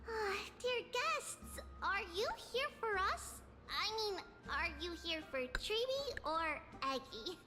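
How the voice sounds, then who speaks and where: A woman's voice: extremely high pitch, expressive, slow, few pauses, heavily acted. A woman voicing a playful, childlike girl teacher in a video game, teasing and friendly. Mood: bright, friendly. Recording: game audio under loud music.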